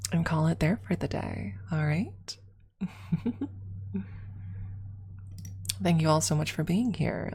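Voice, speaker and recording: Woman, ASMR livestreamer, slow delivery, crackly vocal fry, soft casual chat, rambling, giggly. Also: deep voice. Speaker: streamer hosting an ASMR livestream.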